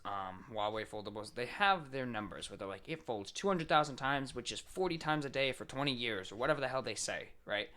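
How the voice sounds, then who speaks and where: A man's voice: medium pitch, bright, forceful tone. A man co-hosting a podcast, explaining things in casual, informal conversation. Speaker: podcast co-host. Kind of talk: casual tech chat.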